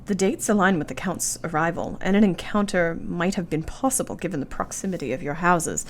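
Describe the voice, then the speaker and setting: A woman playing a lowish-voiced, earnest Victorian wife. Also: brisk, slight background noise, close to an everyday voice. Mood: thoughtful. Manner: formal, precise period phrasing. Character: earnest, anxious young Victorian wife, devoted and thoughtful. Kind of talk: radio play acting.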